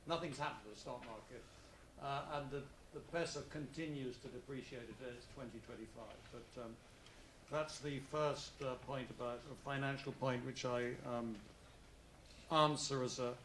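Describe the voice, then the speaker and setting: A man's voice: mid-range voice, fairly even intonation, normal speed, a bit bright, slight background noise. Older accented man moderating a conference panel, digressive, in an echoey hall. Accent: non-native English, foreign-accented. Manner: rambling, digressive, full of asides. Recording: roomy conference hall with faint ambience.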